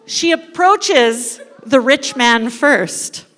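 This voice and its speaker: A woman giving a playful, dramatic live narration of a story, pausing deliberately as she leads an interactive reading. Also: high voice, animated, a bit bright. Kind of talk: theatrical live scripted narration of a parable. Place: large indoor church space before an audience. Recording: echoey hall with long reverb.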